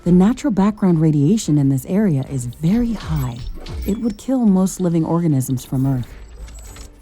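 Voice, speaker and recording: A woman's voice: lowish voice, lively intonation, moderate pace, mellow, soft background music, close to an everyday voice. A woman playing a composed, capable scientist-commando in a video game, with an earnest, scripted delivery.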